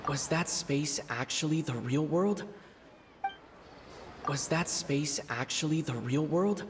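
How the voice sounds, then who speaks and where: A man voicing a thoughtful young traveler in a video game, speaking in pensive, deliberate monologue with reverb. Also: on the high side, noisy background. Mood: pondering. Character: thoughtful young male traveler adventurer reporting mysteries. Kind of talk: video game inner monologue and recorded message. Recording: long reverb, music behind.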